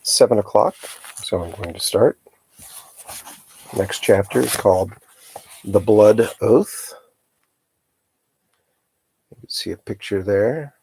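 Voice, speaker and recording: A man hosting a live storytime, reading a children's novel aloud in a calm, unhurried, hesitant manner. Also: lowish voice, creaky, breathy edge, rough recording.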